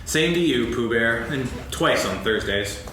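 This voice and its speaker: A man playing a gloomy, sarcastic, self-pitying old donkey in a staged reading, mournful and deadpan with halting delivery. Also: medium pitch. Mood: glum, sardonic. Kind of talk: staged reading of a children's story play. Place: on stage in a hall. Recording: very echoey hall, faint noise, archival recording.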